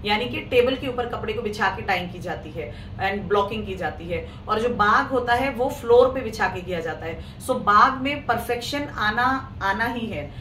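A woman with a hoarse throat hosting a live clothing sale, chatting casually with viewers in Hindi mixed with English. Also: mid-range voice, lively intonation, normal speed, hardly any pauses, a bit bright. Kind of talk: casual live-stream sales talk. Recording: echoey room, mic at a distance, faint noise.

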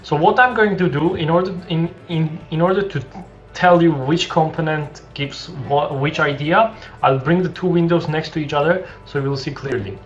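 A young man explains a coding project from a small room indoors, his voice sitting slightly high and his sentences full of restarts and fillers. The sound is dull and lo-fi from a desk mic, with faint background music underneath. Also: normal speed. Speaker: coding tutorial host. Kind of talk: screen-share coding tutorial.

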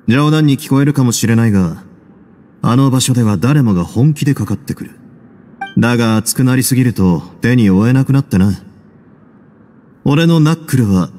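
An actor playing a cool, composed duke in an anime game, speaking with measured, casual authority and dry wit. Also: male voice, mid-range voice, dark tone, lightly acted. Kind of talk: Japanese anime game voice acting. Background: music.

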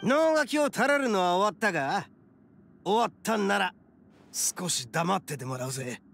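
An actor playing a brash, loyal, hot-blooded oni gang boss in a Japanese anime game, rough, cocky and gravelly. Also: male voice, sits high, lively intonation, normal speed. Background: game music.

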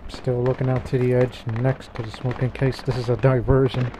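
A man's voice: medium pitch, level tone, a little fast, background noise. A man playing an automatic rifleman, making casual callouts over voice chat in an online shooter. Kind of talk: tactical voice chat during online game. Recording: gunfire-heavy game audio over voice chat.

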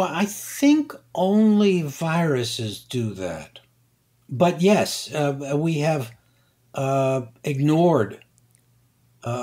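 Slow, deliberate older man explaining. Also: medium pitch, a little mellow. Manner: slow, deliberate, many hesitations. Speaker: guest scientist. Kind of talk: conversational science interview.

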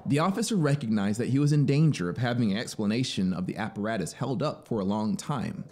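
A man in his thirties narrating literary fiction dramatically, in a measured, expressive way, close to a studio microphone. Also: mid-range voice. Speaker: storyteller. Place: small home music studio. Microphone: close studio microphone on boom arm. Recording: faint background noise and ambience. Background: ambient soundscape.

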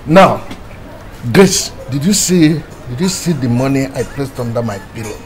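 A man's voice: medium pitch, expressive, moderate pace, slight background noise, natural voice. An actor with a Nigerian accent playing an agitated, aggrieved middle-aged father in a film drama, given to repeated exclamations. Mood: troubled, suspicious. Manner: repetitive, exclamatory outbursts. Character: agitated, aggrieved father raging over stolen money.

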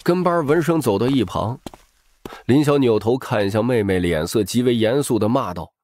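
A man's voice: mid-range voice, expressive, normal speed, darkish tone, dry recording, studio quality. A man narrating a novel as a dramatic scripted audiobook.

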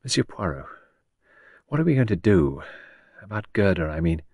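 Man doing a worn-out grieving woman, quiet and bitter, low-ish voice, dry sound. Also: big pitch swings, normal speed, slightly rough, dry recording, full character voice. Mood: subdued. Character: grieving young woman sculptor, bitter, tired. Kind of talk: audiobook character voice.

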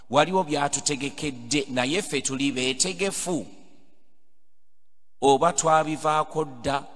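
A middle-aged Ugandan-accented priest preaches into a pulpit microphone, his voice sitting at an ordinary male pitch. He drives his points home with emphatic, rising calls to the congregation. Long cathedral reverb trails every phrase. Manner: emphatic preaching, building to loud exhortations. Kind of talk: homily mixing English and Luganda. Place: large cathedral, indoors at a pulpit. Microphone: pulpit microphone on a stand.

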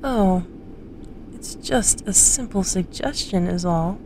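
A woman acts out a young female huntress in an audio drama, smug and manipulative. She drawls her condescending taunts slowly at a middling pitch. A faint layer of noise sits behind the voice. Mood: coy, toying.

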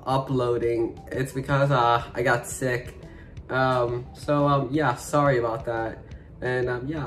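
A young man speaks into a headset mic in a small bedroom, sounding a bit congested. He talks in a halting, casual way, full of ums and restarts, at an everyday mid pitch. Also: a bit bright. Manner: hesitant, many fillers. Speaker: reaction video host. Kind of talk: casual reaction commentary.

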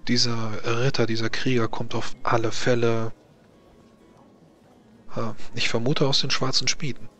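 Man playing a grave, even-toned court mage. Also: medium pitch, soft background music, natural voice. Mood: grave, worried. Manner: deliberate, halting, formal explanations. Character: grave, worried male court mage, formal advisor. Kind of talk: tabletop roleplay in character.

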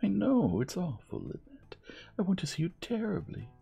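A man reading aloud, voicing an eager, soft, slightly breathless young woman in a high, lively voice. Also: normal speed, vocal fry, slightly rough, faint music behind, full character voice.

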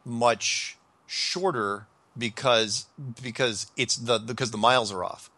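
A man's voice: mid-range voice, fairly even intonation, slow pace, sharp tone, no room sound. A man hosting a casual talk show, rambling through ideas with frequent restarts and fillers. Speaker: podcast host. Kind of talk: casual call-in chat.

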